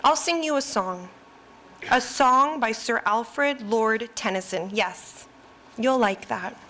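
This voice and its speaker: A brazen librarian, high flirty voice, sly and suggestive. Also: female voice, bright, forceful tone, a little hiss or hum. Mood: flirtatious. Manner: sly, suggestive, quick-talking. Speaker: stage actor in a live radio play.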